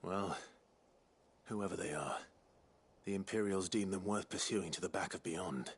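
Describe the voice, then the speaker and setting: An actor playing a solemn, courteous and determined traveler in a fantasy video game, with a measured, earnest delivery. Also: male voice, breathy edge. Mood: measured, serious. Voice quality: deep, low. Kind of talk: scripted video game acting.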